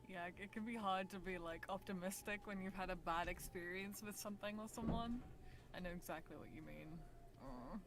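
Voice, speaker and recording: A young British woman answering interview questions in a soft, approachable, giggly way. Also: mid-range voice, moderate pace. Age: young adult. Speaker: voice actor guest.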